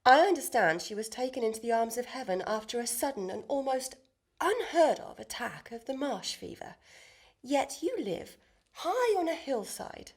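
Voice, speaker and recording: An actress plays a haughty noble lady from a comic period radio play, with a high voice that swings widely in pitch. She is imperious and easily exasperated, speaking in grand, wordy sentences as she schemes. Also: sing-song, moderate pace, slightly rough, exaggerated voice. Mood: dry, wary.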